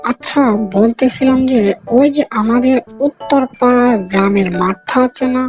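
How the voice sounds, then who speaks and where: A woman's voice: on the high side, lightly acted, poor audio. A woman voicing a small, timid but scheming animal in a cartoon story.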